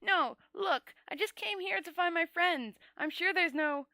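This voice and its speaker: Casting: reluctant, squeaky coward of a wizard, voiced by a woman, storybook fantasy, high and bright, pleading and flustered. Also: a little slow, dry recording, clean studio sound, full character voice. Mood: nervous pleading. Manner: stammering, protesting, squeaky under pressure.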